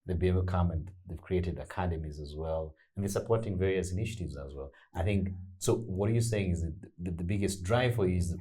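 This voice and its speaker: A man's voice: low voice, brisk, few pauses, dark tone, husky. A man moderating a panel, affirming the speakers often and asking follow-up questions. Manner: affirming, many short backchannels.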